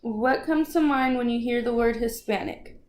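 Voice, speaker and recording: A woman's voice: on the high side, normal speed, darkish tone. A woman asking brief, direct interview questions. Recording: echoey room, faint ambient noise.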